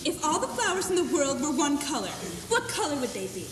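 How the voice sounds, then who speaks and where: A young actress plays a chirpy young woman whose high, lively voice bounces through one whimsical question after another. She is heard from a distance in an echoey school hall with steady background noise. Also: brisk. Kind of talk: amateur stage acting.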